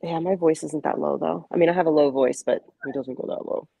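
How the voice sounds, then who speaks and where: Woman, low voice, dry sound, upbeat chatty co-host. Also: steady tone, vocal fry, warm tone, breathy edge, no room sound, lo-fi sound. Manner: upbeat, chatty, quick to laugh. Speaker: podcast co-host. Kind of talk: casual podcast chat.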